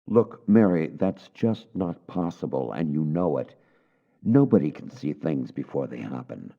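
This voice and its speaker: A man's voice: very deep voice, sing-song, a little slow, vocal fry, full character voice. A man playing a stern, dismissive scientist in a video game drama, exasperated and emphatic in manner. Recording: dull, muffled lo-fi sound.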